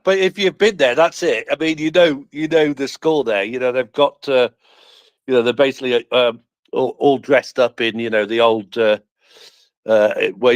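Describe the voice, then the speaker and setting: A man's voice: mid-range voice, dry recording. A man with a Midlands accent chatting casually and jokily on a live audio space. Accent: Midlands English. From English Midlands. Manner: chatty, jokey, many fillers. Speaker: panelist.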